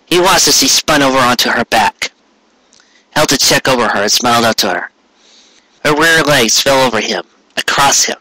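Male story narrator, bright forceful voice, dry close sound. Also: medium pitch, lively intonation, normal speed, dry recording, rough recording. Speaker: amateur fan fiction reader. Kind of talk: scripted narration, storybook reading.

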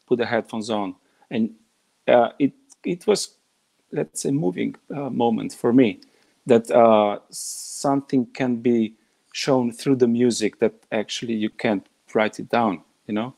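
Gravelly-voiced man haltingly searching for words. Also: mid-range voice, darkish tone. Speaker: guest singer. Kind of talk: video call conversation. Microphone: laptop webcam on a video call.

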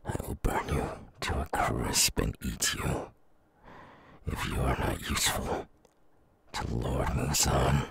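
A man playing a menacing, gloating man-eating demon in an ASMR audio roleplay, slow and sneering, with a gravelly growl that drops into breath. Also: rumbling low, steady tone, a bit bright, full character voice. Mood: threatening. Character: menacing, gloating male demon who eats humans.

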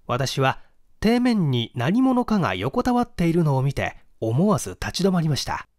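A man reads a literary mystery aloud like a dramatic storyteller. His intonation rises and falls widely, yet the phrasing stays measured and formal. The recording is close and fairly dry. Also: on the high side, no room sound. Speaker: audiobook reader, stage actor. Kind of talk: scripted mystery story narration, literary reading.

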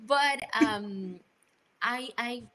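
A woman in her thirties from Costa Rica, a scenographer speaking on a video call panel, animated and quick to laugh. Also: on the high side, a little hiss or hum. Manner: animated, laughing, restarts sentences. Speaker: scenographer and costume design professor. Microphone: laptop webcam on video call.

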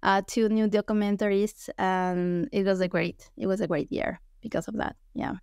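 A woman from Ecuador speaks through an earbud mic on a video call, her voice dry and close with no room sound. She talks at a middle pitch, hesitant, with many restarts and fillers. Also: steady tone, normal speed, gravelly, darkish tone, smooth, dry recording. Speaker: guest anthropologist. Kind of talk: casual interview talk. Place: small home room. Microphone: earbud headset on video call.

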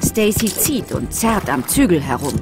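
A woman's voice: lowish voice, sing-song, moderate pace, over loud music. A woman narrating a scripted children's audio drama as a storyteller.

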